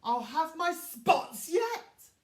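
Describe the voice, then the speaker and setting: Young rhino for a storybook, played by a woman: high, eager, emotional, slow delivery, heard in an echoey room. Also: very animated, full character voice. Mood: excited. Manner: exclaiming, emotional, drawn-out delivery. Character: young Javan rhino, eager, excitable, easily saddened. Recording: echoey room, distant from mic.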